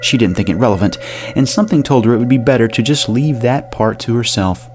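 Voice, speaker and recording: A man narrating a scripted gothic horror audio drama in a measured, dramatic delivery. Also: medium pitch. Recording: music under the voice.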